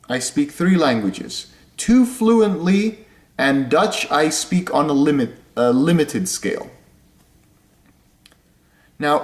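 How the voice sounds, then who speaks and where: A young man from Indonesia, explaining things step by step in a casual vlog, talking slowly and often repeating or correcting himself. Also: a little high. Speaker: vlogger. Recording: echoey room.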